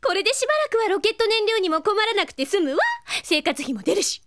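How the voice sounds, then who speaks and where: An actress playing an excitable, carefree young woman who pilots a courier rocket, with a breezy, exclamatory manner in an audio drama. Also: very high-pitched, quick pace. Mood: breezy, happy. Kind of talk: Japanese audio drama acting. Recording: studio drama with music behind.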